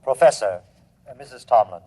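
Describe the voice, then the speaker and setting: A man playing a calm, cold alien robot in a radio drama, speaking in a formal, detached, matter-of-fact way. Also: medium pitch, a little fast, natural voice, rough recording. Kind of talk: 1950s radio drama acting.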